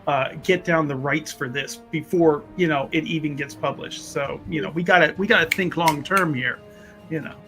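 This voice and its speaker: A man's voice: on the high side, normal speed. Easygoing man at a game table, faint music. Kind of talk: casual in-game chat.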